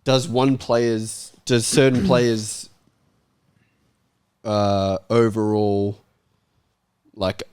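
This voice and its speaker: Male sports talk host, fairly low voice, close dry recording, casual questioning style. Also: little pitch movement, extremely slow, pauses often, a little mellow, clean tone, no room sound. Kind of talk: casual discussion, posing questions.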